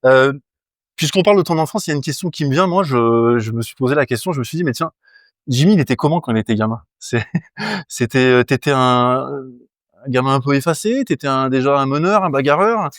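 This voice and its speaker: A man's voice: mid-range voice, lively intonation, brisk, no room sound, clean studio sound. A man hosting an interview, asking polite, prompting questions with frequent restarts. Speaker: interviewer, host.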